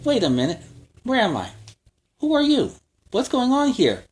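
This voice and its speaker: A man's voice: very high voice, wildly swooping pitch, moderate pace, voice pushed up, heavily acted. A man voicing a confused, earnest superhero archer who has lost his memory, in a storybook reading. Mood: bewildered, alarmed. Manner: bewildered, questioning.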